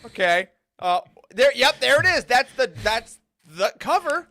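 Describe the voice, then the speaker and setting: Animated podcast host with swooping pitch. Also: a little slow. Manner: animated, jokey. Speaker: host of a fan roundtable show. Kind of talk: casual chat, hosting.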